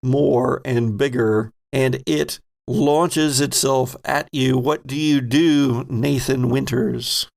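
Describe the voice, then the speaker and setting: A man's voice: mid-range voice, steady tone, takes it slowly, vocal fry, few pauses, darkish tone. A man narrating a tabletop horror game as game master, describing scenes vividly and addressing the players directly.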